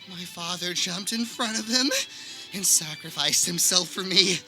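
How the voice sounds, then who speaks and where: A woman's voice: mid-range voice, pushed and bright, exaggerated voice, rough recording. A woman playing an overwrought, haunted man telling his childhood trauma in a comedic radio play, drawn out and theatrical. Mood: grave, haunted.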